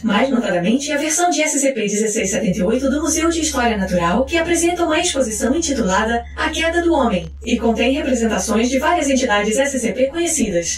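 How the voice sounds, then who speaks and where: Female narrator, high register, clinical and formal scripted delivery, noticeably roomy echo. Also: slight background noise. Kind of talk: scripted documentary-style narration of fiction. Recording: roomy echo, faint music behind. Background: faint music.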